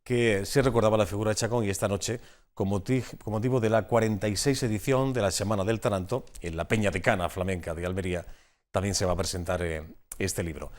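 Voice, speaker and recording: A man's voice: fairly low pitch, fairly even intonation, fast talker. A man with a Spanish accent from Spain, hosting a conversational TV interview and often repeating words as he forms his questions. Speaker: TV magazine host interviewing a guest.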